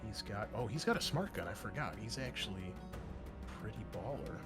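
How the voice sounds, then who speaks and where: A man's voice: on the low side, lively intonation, a little fast, over loud music. A man narrating a tabletop roleplaying game as game master, in a casual, conversational way. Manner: casual, conversational, mild swearing.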